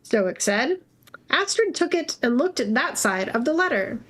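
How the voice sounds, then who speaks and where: High, lively woman reading aloud. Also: unhurried. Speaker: reader-narrator on a fanfiction reading stream. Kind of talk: casual live read-aloud with banter.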